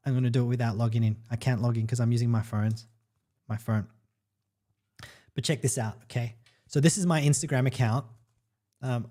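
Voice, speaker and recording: A man's voice: mid-range voice, darkish tone. A man originally from El Salvador hosting a casual livestream as an online marketing coach, upbeat and chatty, often checking in with "right?" and "guys". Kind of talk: casual livestream talk to viewers.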